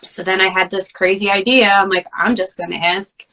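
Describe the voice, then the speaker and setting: Bright-voiced middle-aged woman telling a heartfelt story. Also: medium pitch, fairly even intonation, normal speed, rough recording. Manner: earnest, heartfelt, acts out her own thoughts in quotes. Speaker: podcast guest. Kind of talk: personal storytelling in conversation.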